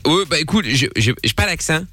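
A man's voice: fairly high pitch, lively intonation, a little fast, bright, forceful tone, soft background music. A man hosting an evening call-in radio show, bantering and reading listener messages aloud. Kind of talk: casual radio chat.

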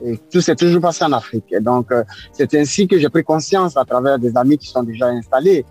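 A man's voice: mid-range voice, animated, brisk, a little mellow, husky, highs cut off, soft background music. A man in his forties with an Ivorian accent, answering interview questions hesitantly, with many fillers and repeated words. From Ivory Coast. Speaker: guest artist.

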